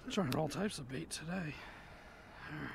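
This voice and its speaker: A man's voice: on the high side, animated, normal speed, vocal fry, noisy background. A man vlogging while fishing on an outdoor pier, chatting casually and muttering to himself, recorded on a head-mounted action camera. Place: outdoors on a pier over an inlet.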